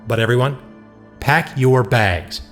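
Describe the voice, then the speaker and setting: A man's voice: mid-range voice, slow, lightly acted. Man playing a blunt, commanding leader over faint music. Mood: grim, resolute. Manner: blunt, decisive. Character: resolute, commanding male leader of a group. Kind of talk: audio drama acting.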